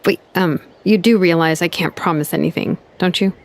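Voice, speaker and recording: A woman's voice: fairly low pitch, normal speed, natural voice. A woman voicing a wry researcher. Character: brisk female researcher, wry.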